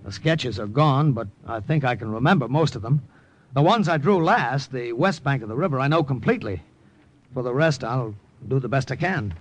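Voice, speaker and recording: A man's voice: medium pitch, lively intonation, normal speed, natural voice. An actor playing a desperate young male army sketch artist turned spy in 1940s radio drama, talking in an urgent, flustered way. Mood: bewildered. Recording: noisy old radio broadcast.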